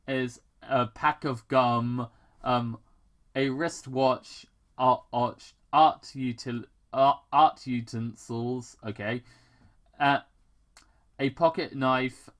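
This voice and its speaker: An amateur reader narrating fan fiction aloud in a halting way, stumbling and repeating words. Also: medium pitch, fairly bright tone. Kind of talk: amateur story read-aloud.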